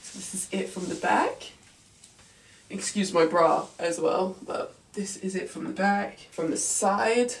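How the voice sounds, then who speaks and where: A woman's voice: medium pitch, measured pace, gravelly. A young woman with a nasal voice chatting casually through an unboxing and try-on video, enthusiastic and narrating what she handles, recorded in a small room. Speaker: fashion vlogger.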